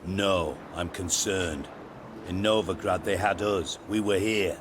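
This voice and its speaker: Deep low voiced wry middle-aged spymaster guy, blunt, crude, theatrical, video game cutscene. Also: steady tone, slow, over music. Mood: earnest, scheming. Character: cynical, wry, heavyset older spymaster man, crude-tongued.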